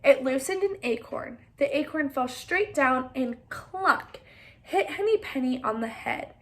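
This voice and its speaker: A woman reading a children's story aloud in an expressive way, pausing to put questions to her young listeners. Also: high-pitched.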